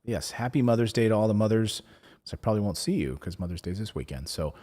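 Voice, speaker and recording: Deep-voiced man hosting a live stream. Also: lively intonation, fast talker, mellow. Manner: rambling, many "like" fillers and restarts. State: losing his voice. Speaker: live show host. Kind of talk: casual live-stream chat, reading viewer comments.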